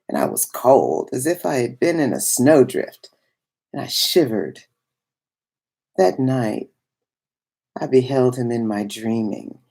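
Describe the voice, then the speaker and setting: A woman voicing a wistful, awestruck woman of biblical times in a dramatic literary monologue, with hushed, reverent phrasing and a breathy, husky voice that often drops toward a whisper. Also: rumbling low, full character voice. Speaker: reader.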